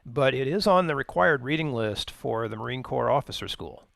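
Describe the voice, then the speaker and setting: Man, American accent, creaky gravelly voice, dry sound, casual co-host chat, laid-back. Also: mid-range voice, expressive, normal speed, fairly bright tone, dry recording. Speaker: co-host and musician.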